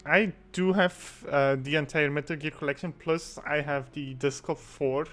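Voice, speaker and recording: A man hosting a casual online interview show, easygoing and quick to chuckle. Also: a little high, steady tone, fairly bright tone. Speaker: podcast host. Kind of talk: casual chat.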